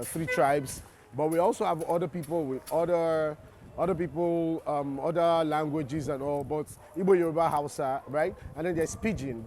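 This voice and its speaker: Nigerian male actor, interview guest, a bit high-pitched, thoughtful, repeats himself as he thinks. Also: darkish tone, slight background noise. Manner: reflective, restarts and repeats words while explaining. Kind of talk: interview answers.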